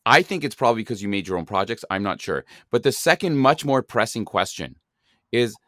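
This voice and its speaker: Canadian male podcast host; conversational, lowish voice, dry recording. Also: expressive, fairly quick, fairly bright tone, no room sound. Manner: conversational, asks long questions. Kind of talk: casual interview.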